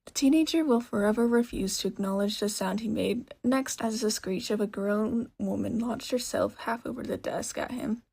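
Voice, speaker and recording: Female audiobook-style narrator: fairly high voice with plenty of fry and a breathy, husky edge. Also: normal speed, few pauses, dark tone. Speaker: narrator, fan fiction reader. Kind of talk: scripted story narration.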